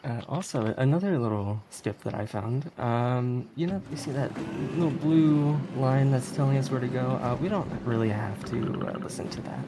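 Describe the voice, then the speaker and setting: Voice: medium pitch, expressive, normal speed, few pauses, background noise. A speedrunner giving casual, giggly, easygoing live commentary while playing a video game.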